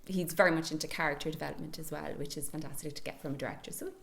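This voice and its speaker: Gravelly-voiced woman in interview. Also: low-pitched, steady tone, very fast, slight background noise. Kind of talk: casual interview.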